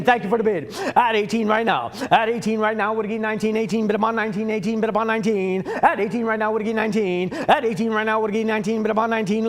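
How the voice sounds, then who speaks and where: A man with a Swedish accent working as an auctioneer, calling bids in a rapid, repetitive chant. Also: high voice. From Sweden. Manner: repetitive rhythmic bid chant.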